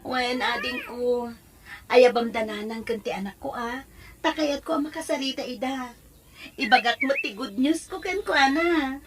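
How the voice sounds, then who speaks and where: A woman's voice: sits high, animated, normal speed. A woman playing a hardworking, emotional Filipino mother in an audio drama, with an Ilocano accent. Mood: joyful, tearful.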